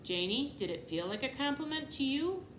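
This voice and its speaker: A woman reading the part of a patient but firm storybook mother, measured and gently correcting. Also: high voice, expressive, pitched above the performer's own voice. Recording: echoey room, clear background noise.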